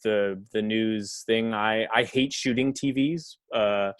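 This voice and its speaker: A man's voice: on the low side, takes it slowly, smooth, no room sound. Rambling man, dry close sound. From Canada. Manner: rambling, heavy on fillers and profanity. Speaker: filmmaker guest. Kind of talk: casual podcast conversation.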